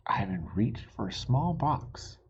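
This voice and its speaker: Very breathy, slow storybook reader. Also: mid-range voice, very animated, whispered, lo-fi sound. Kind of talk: expressive children's read-aloud.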